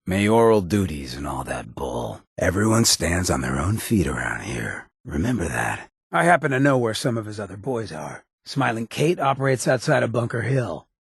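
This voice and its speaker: Super husky swaggering outlaw mayor from a video game, slangy, cocky, really swingy delivery, dry close recording. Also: male voice, lowish voice, sing-song, moderate pace, few pauses, dry recording, exaggerated voice. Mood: swaggering, welcoming. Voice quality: raspy, gravelly, husky. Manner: slangy, cocky, streetwise drawl. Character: roguish, swaggering mayor of a lawless town, cynical charmer. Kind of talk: video game character dialogue.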